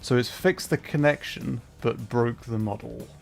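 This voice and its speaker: A man's voice: mid-range voice, unhurried, gravelly, a little mellow, faint music behind. A man chatting casually to viewers on a livestream, talking in a hesitant, flustered way and often interrupting himself. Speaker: livestreamer, VTuber.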